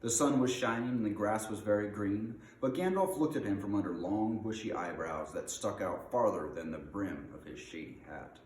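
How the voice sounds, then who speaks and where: A man reading a story aloud in an expressive storybook narration style. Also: fairly low pitch, moderate pace, few pauses. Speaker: reader of an audiobook. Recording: roomy echo, faint background noise.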